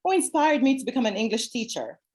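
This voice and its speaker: A woman's voice: on the high side, big pitch swings, fast talker. A woman teacher from Jamaica speaking on a video call, earnestly explaining classroom methods and repeating key phrases. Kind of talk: zoom talk answering questions.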